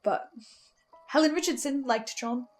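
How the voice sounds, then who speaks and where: A woman giving first-person commentary on an audio drama as host, animated and self-questioning in a halting way. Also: sits high, faint music behind. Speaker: host of a podcast listener recap series.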